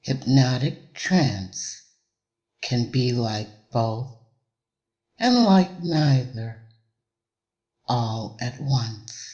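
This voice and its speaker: Guy doing hypnosis narration, super slow n deliberate w long pauses, average pitch, bit muffled lo-fi audio. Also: animated, a little mellow. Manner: measured, deliberate, with long pauses between short phrases.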